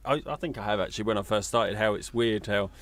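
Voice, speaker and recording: A man's voice: lowish voice, fast, fairly bright tone. A man co-hosting a podcast in a casual, conversational style, telling things informally. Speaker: podcast co-host.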